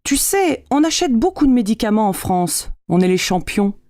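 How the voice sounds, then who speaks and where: A woman with a fairly high, mellow voice reads everyday dialogue lines for learners. Her delivery is clear and deliberate. The close recording is dry and free of room sound. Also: big pitch swings, dry recording.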